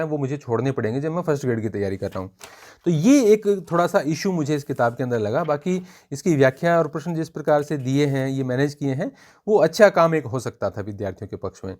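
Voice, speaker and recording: A man giving an informal spoken review for students as an exam coach, explaining in a measured way and pointing out examples. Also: fairly high pitch, a little mellow.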